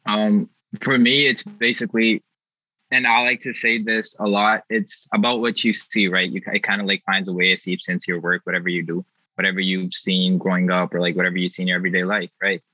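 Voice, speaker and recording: A young man from India tells stories in a loose, slang-heavy way, his voice bright and forward and a little low. The sound is dry and somewhat dull. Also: little pitch movement, a little fast, no echo. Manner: laid-back slangy storytelling. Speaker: youth artist guest. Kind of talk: casual chat.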